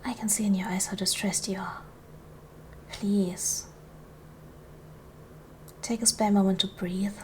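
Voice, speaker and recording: A woman playing a gracious, commanding duchess in an ASMR roleplay, speaking in a formal, measured, soothing way to a servant she protects. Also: medium pitch, fairly even intonation, slight background noise, natural voice. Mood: gentle, reassuring. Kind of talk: ASMR roleplay, soft formal period speech.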